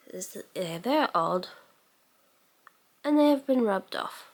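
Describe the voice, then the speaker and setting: A woman's voice: mid-range voice, expressive, takes it slowly. A woman giving a casual makeup tutorial as a beauty vlogger, musing aloud as she works and letting sentences trail off.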